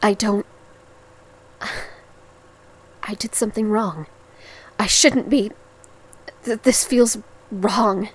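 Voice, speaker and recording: A woman playing an earnest, guilt-ridden, frightened young hero in a dark audio drama, hesitant and polite. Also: mid-range voice, steady tone, very slow, long pauses, slightly rough. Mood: earnest, uneasy.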